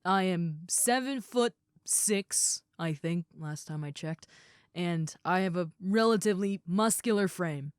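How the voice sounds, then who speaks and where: A woman playing a big, caring female ogre roommate in an intimate comfort roleplay, speaking in a soothing, earnest way with pauses and soft moments. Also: lowish voice, smooth, dry recording, clean studio sound, natural voice. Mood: earnest, encouraging. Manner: soothing, earnest, hushed at times, with pauses and sighs. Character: gentle, caring seven-foot-six muscular female ogre roommate.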